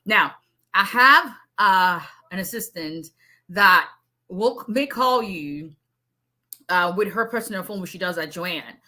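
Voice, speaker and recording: A middle-aged woman with a mixed Nigerian and Southern US accent, an immigration lawyer chatting on a live stream. She talks fast, with lots of asides. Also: medium pitch, lively intonation, pushed and bright. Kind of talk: casual live-stream chat.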